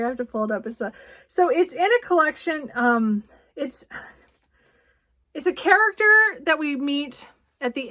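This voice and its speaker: A woman's voice: high-pitched, a bit bright. A woman chatting casually as a book vlogger, in a giggly, effusive, rambling way. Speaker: book reviewer and vlog host.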